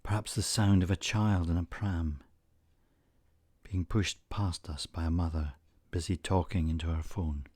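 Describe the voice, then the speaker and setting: A man narrating a scripted guided meditation in a soothing, measured manner, leaving frequent pauses between short phrases. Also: deep voice, steady tone, a little mellow, husky, dry recording.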